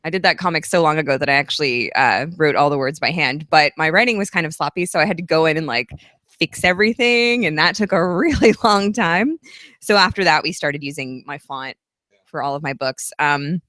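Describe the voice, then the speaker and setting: Low-voiced woman chatting earnestly. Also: fairly quick, a bit bright, no room sound, clean studio sound. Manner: chatty and earnest, long flowing answers. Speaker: guest author. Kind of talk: casual interview at a live event.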